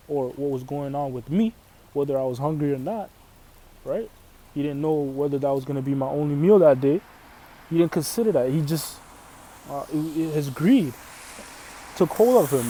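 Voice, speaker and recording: A man's voice: fairly high pitch, warm tone, low-quality recording. A man from Canada whose first language is Arabic, teaching scripture informally and often checking in with "right?". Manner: casual, repeats "right?" often, restarts phrases. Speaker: religious teacher.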